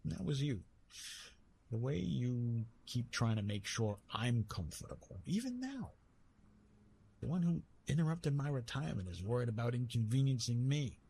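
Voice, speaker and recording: A man playing a retired god of love in an intimate ASMR roleplay, tender and teasing, speaking softly with a husky, breathy voice and halting, reassuring delivery. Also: mid-range voice, lively intonation, a little hiss or hum. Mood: gently reassuring. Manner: gentle, reassuring, halting with pauses and self-corrections.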